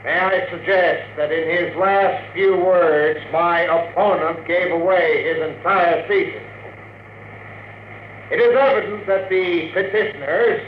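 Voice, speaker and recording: A man's voice: high voice, reverberant. A man playing an indignant, oratorical defense lawyer in a classic radio drama. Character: lawyer pleading in court, and a brusque deputy marshal. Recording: muffled, noisy old radio recording.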